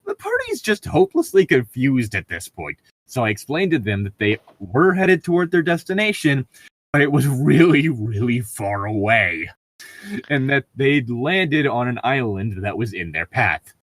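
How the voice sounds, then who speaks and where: Animated man reading and chatting casually. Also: medium pitch, normal speed, no room sound. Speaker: podcast co-host. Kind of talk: casual banter and reading a story aloud.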